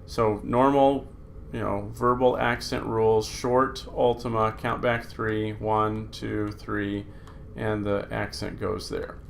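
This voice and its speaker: A man teaching Greek grammar at a slow, deliberate pace, pausing often with fillers. His voice has a gravelly, creaky edge. A faint hiss of noise sits under the recording. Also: lowish voice, little pitch movement. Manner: unhurried, talking through slides with fillers. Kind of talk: recorded screen lesson.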